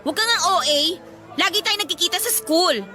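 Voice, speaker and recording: A woman acting a teasing, feisty young student in a Tagalog radio love drama, speaking in a sassy, expressive way. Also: very high voice, brisk, soft background music, full character voice. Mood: irritated. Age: young adult.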